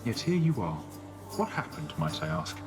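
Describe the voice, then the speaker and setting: Genial shade, animated intonation. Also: male voice, lowish voice, moderate pace. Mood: curious, courteous. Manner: courteous, gently chatty. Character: genial, deferential shade of a condemned man. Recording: music underneath.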